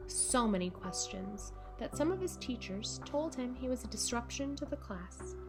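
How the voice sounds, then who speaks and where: A woman reads a children's picture book aloud in a mid-pitched voice. Her phrasing is measured and deliberate, with pauses for drama, and music plays clearly behind her. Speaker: storybook reader for children.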